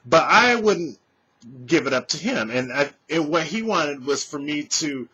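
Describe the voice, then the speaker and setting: Animated man telling a story to his webcam. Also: fairly high pitch, unhurried, breathy edge, dull audio. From California. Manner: animated, gestures a lot, swears freely. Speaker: vlogger. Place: small room at home, indoors. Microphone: webcam at desk distance.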